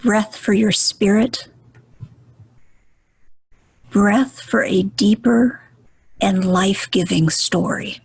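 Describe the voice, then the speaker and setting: Female meditation guide, very husky and breathy, unhurried and gentle, close dry sound. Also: medium pitch, no room sound, poor audio. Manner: gentle, soothing, deliberate with pauses.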